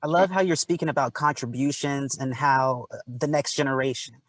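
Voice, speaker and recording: A man's voice: medium pitch, brisk. A man moderating a town hall, asking long questions in a casual, encouraging way.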